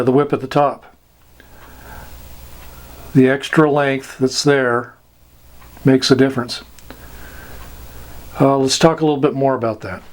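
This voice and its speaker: Male instructor, slow and deliberate, mid-pitched, halting informal explainer delivery with long pauses.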